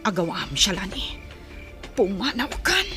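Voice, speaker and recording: A woman's voice: medium pitch, big pitch swings, measured pace, music in the background. A woman with a Filipino accent playing a resolute, protective elder-sister figure in a radio drama. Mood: urgent.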